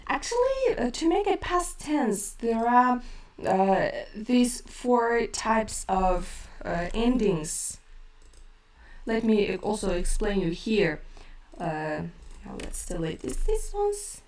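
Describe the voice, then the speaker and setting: A woman with a Kazakh accent teaching her language in a live stream, speaking slowly with many hesitant fillers while she reads chat questions. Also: on the high side, sing-song, a little mellow, roomy sound, far from the mic.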